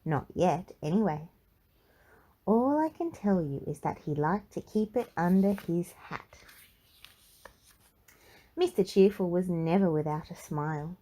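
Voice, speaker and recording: A woman reading a children's storybook aloud in an expressive, measured narrating style. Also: on the low side. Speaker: children's book reader.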